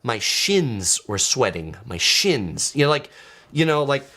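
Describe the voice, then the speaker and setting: An American man hosts a travel live stream and answers viewers' comments in a casual, rambling way. His pitch swings around a lot as he talks, and he drops filler words freely. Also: sing-song, takes it slowly, bright, forceful tone. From San Diego, California, USA. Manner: chatty, loose, many filler words. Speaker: travel vlogger.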